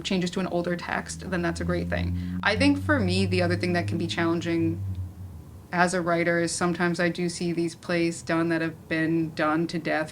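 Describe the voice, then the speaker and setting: A woman moderating a casual panel discussion, speaking conversationally with lots of "like" and "you know". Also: on the low side. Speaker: panel moderator, playwright and director. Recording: noisy room at a live event.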